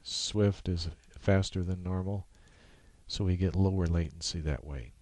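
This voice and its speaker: A man presenting an informal software tutorial, explaining step by step in a halting way. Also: low-pitched, dry recording.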